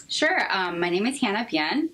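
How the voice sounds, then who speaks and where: A young woman from Seattle, a therapist answering interview questions earnestly, with frequent ums and pauses. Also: medium pitch, sing-song, a bit bright. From Seattle, Washington. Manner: hesitant, many ums, earnest. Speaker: guest therapist.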